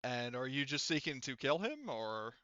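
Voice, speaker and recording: A man's voice: mid-range voice, sing-song, moderate pace, bright, forceful tone, clean tone, no echo, dull audio. A man speaking as game master, running a tabletop role-playing game and explaining rules and outcomes to players.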